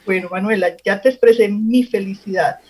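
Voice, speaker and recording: A woman's voice: mid-range voice, steady tone, normal speed, darkish tone, breathy edge. A woman with a Colombian accent, speaking effusively as co-host of a radio interview. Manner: effusive, complimentary. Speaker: co-host, professor. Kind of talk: radio interview, warm introductions.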